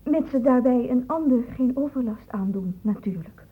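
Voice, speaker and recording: High, anxious young woman played by an actress. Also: expressive, normal speed, a little hiss or hum, natural voice. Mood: hesitant, explaining. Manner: hesitant, subdued. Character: anxious, cooperative young woman confessing to a doctor. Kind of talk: Dutch radio play acting. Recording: muffled old radio play recording.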